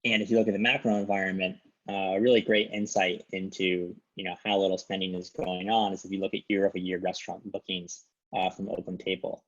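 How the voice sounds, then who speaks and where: A man's voice: deep voice, level tone, quick pace. A man presenting an informal market briefing on an investment conference call, with dull, lo-fi call audio.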